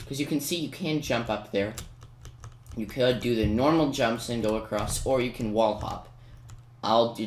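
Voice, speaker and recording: A man giving casual, self-mocking and playfully boastful commentary over his own gameplay. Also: fairly low pitch. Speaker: gaming video maker. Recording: roomy, noisy home recording with keyboard clicks.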